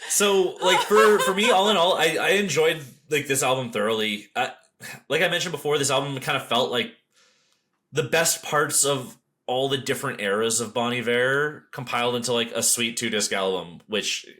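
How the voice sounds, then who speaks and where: Guy podcast host chatting thru an album review, bright clear-cut tone, normal pitch. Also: normal speed. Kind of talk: casual album review chat.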